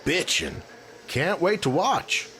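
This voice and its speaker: A man's voice: high-pitched, expressive, slow pace, sharp tone, exaggerated voice. A man playing a friendly, easygoing young athletic hero in an audio drama, with casual, slangy delivery. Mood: friendly, relaxed. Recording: noisy background ambience.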